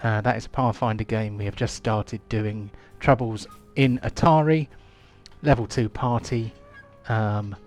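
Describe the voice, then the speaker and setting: A man's voice: fairly low pitch, steady tone, unhurried, darkish tone, soft background music. A man, hosting and running a live-play tabletop game in a chatty, rambling way full of ums and restarts. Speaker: game master.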